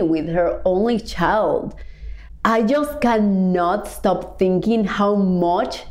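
A woman's voice: medium pitch, dark tone. Casting: Cuban-born woman life coach, earnest motivational podcast talk, mid-range voice, roomy echo. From Cuba. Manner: earnest, stretches key words, builds in repeated phrases. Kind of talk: reflective motivational monologue.

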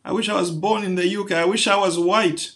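A man's voice: high-pitched, steady tone, fairly quick, a bit bright. A man preaching a sermon, building through rhetorical questions to emphatic peaks.

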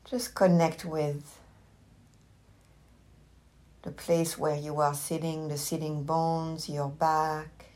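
A woman teacher leading a guided meditation with gentle, unhurried instructions and long pauses. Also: low-pitched, flat delivery.

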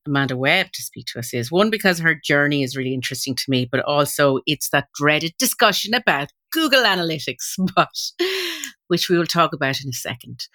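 A woman's voice: sits low, pushed and bright. A woman hosting a marketing podcast, introducing the show and chatting in a conversational interview style.

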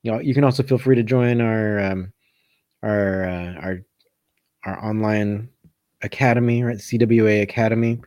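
A man's voice: on the low side, fairly even intonation, moderate pace, darkish tone, dry recording. A man hosting a casual live question-and-answer session as a coach, thinking aloud and often rephrasing as he goes. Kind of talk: casual live chat answering viewer comments.

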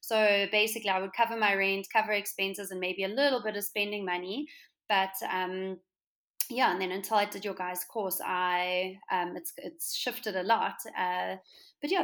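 A woman's voice: mid-range voice, steady tone, normal speed, a bit bright. A young woman with a South African accent, chatting as a podcast guest, with lots of ums and restarts. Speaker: podcast guest, interior designer. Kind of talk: casual interview chat.

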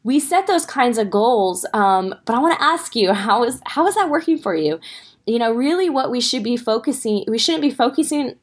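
A woman's voice: on the high side, expressive, normal speed. A woman hosting a fitness podcast for mothers, sounding a little stuffy, talking casually and straight to her listeners with lots of "right?" asides. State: stuffy from a sore throat. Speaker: podcast host and fitness coach. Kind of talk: casual motivational podcast talk.

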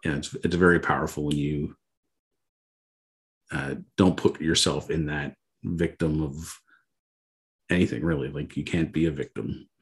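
A man's voice: very deep voice, steady tone, a little slow, pauses often. A man from Canada hosting a casual interview over a video call, friendly and rambling, full of fillers. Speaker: interview host.

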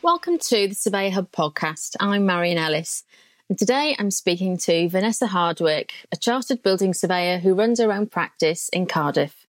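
Host: Welsh woman, lowish voice, chatty and friendly, dry close mic. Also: animated, moderate pace, no room sound. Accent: light, mixed North Welsh. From North Wales. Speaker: podcast host. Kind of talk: casual podcast interview.

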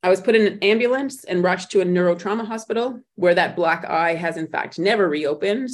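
A woman guest on an interview podcast, recounting her own story in a reflective way, often pausing and restarting as she searches for words. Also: fairly low pitch. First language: not German; speaks in English.

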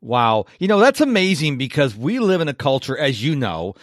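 A man's voice: medium pitch, very animated, moderate pace, no echo, clean studio sound. A man in his fifties hosting an interview, chatty and prone to long rambling questions. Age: 50s. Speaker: podcast host. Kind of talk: casual interview.

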